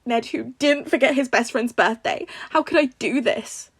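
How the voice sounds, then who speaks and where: A woman's voice: fairly high pitch, moderate pace, breathy edge, no echo, studio quality, pitched above the performer's own voice. A woman voicing a guilt-ridden, emotional aunt of a teenage boy in a story reading. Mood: tearful guilt. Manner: emotional, tearful, self-blaming.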